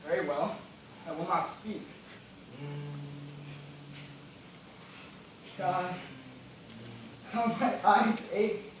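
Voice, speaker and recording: A very reverberant, noisy stage recording carries a man acting as a weak gentleman castaway from some distance. He is parched and despairing, yet keeps a formal, courteous diction with a melodramatic edge. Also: high-pitched, slow. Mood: wounded. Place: school theatre stage, recorded from afar.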